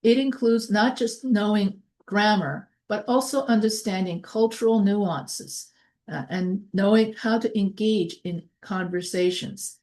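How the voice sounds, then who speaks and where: A woman giving a recorded college lecture. She reads her notes in a measured way, then explains things off the cuff with restarts and fillers. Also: mid-range voice, a little mellow. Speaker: college instructor.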